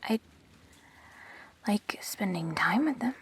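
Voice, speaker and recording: A woman acting an earnest, guarded immortal best friend in an audio drama, speaking in measured, reflective phrases with pauses. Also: medium pitch, animated, a little hiss or hum. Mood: hesitant, vulnerable.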